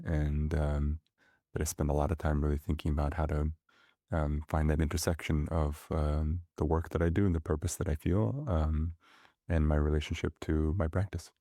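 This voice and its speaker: A middle-aged man, a podcast guest who grew up speaking Russian, talking thoughtfully with many pauses and fillers. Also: rumbling low, dark tone, no room sound, studio quality. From grew up in many countries. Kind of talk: reflective conversational interview.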